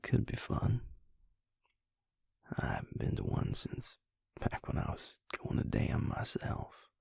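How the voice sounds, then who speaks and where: Man playing a hushed, husky, very slow-talking vampire lover. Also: dry recording, in a whisper, clean studio sound, natural voice. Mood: musing, relaxed. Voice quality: breathy, husky, gravelly low murmur. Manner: slow, hesitant, tender, with long pauses. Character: affectionate male vampire mate, laid-back and gentle. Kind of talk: intimate whispered roleplay audio.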